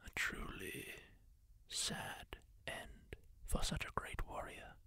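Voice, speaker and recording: A man voicing a kindly masked healer-warrior, telling tales in a slow, breathy ASMR whisper close to the mic. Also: bright, forceful tone. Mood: hushed. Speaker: ASMR roleplayer. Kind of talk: whispered ASMR roleplay monologue.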